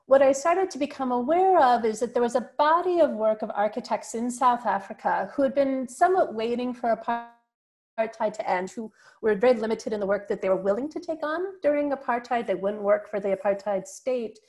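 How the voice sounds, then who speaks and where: A middle-aged American woman giving an online architecture lecture over a video call, in a clear, steady academic way with some fillers. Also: on the high side, brisk. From United States. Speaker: guest lecturer.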